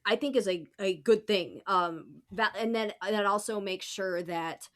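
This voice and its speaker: A woman's voice: medium pitch, a little slow. A woman on a podcast panel discussing politics in an informal, opinionated way.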